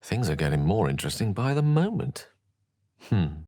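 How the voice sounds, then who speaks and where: A learned, self-possessed wizard from a fantasy video game. His voice sits a little low in a dry, studio-clean space. He shapes ornate phrases with care, theatrical flair and dry wit. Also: sing-song, normal speed, dark tone, dry recording. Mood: thoughtful. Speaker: voice actor in a fantasy role-playing game. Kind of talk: theatrical game dialogue in short separate lines.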